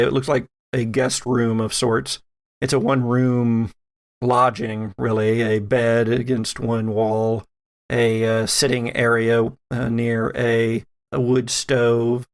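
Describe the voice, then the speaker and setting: Male game master for gothic horror: unhurried, deliberate narration, creaky texture. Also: medium pitch. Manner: deliberate, pausing descriptions.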